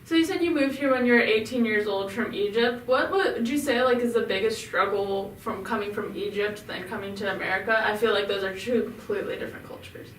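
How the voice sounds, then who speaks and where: Chatty woman podcast host, mid-pitched, in an echoey room, away from the mic. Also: a little fast, few pauses, distant mic, a little hiss or hum. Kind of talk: podcast interviewing.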